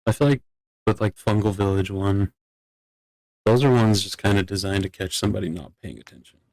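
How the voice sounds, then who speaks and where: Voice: low-pitched, a little fast, darkish tone, no echo, low-quality recording. A person talking casually in a roleplay discussion, explaining things in a plain-spoken way. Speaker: role-player in a court meeting.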